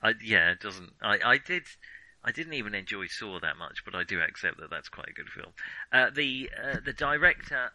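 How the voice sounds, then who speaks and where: Bright, forceful man podcasting. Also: fairly low pitch, big pitch swings, moderate pace, no room sound, rough recording. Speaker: podcast co-host. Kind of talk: casual film discussion.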